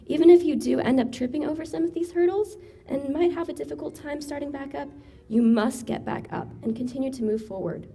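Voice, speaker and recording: Raspy young woman giving a graduation speech. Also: sits high, a little fast, mellow. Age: young adult. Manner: earnest, encouraging, reads a prepared speech. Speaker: valedictorian student speaker.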